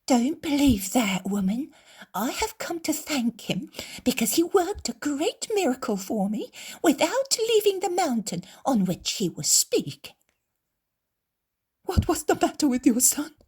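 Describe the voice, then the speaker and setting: A woman's voice: high-pitched, very animated, measured pace, a little mellow, pitched above the performer's own voice. A woman reading a story aloud, voicing a grateful woman who earnestly reassures another. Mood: reassuring.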